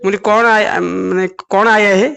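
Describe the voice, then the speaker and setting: A man whose first language is Odia, halting through a phone call with many restarts. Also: sits high, steady tone, creaky, pushed and bright, clean tone. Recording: muffled phone call recording.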